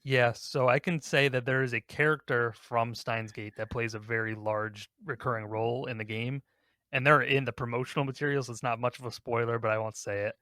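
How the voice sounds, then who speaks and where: A man's voice: medium pitch, fairly even intonation, fairly quick, pushed and bright, no echo. A man in casual podcast conversation, recorded close and dry. He rambles a little as he talks through his thoughts, with a mid-range voice. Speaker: podcast host. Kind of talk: casual gaming podcast chat.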